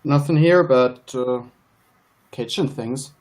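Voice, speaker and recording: A man's voice: medium pitch, slow, a little mellow, natural voice. A man playing a dismissive, jovial county sheriff in a live radio play, talking in a folksy way. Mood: scornful amusement.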